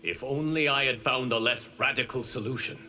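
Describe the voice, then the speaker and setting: An actor voicing a calm, noble lord and mentor in a video game, speaking with formal assurance. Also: male voice, mid-range voice, a little fast. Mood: resolute.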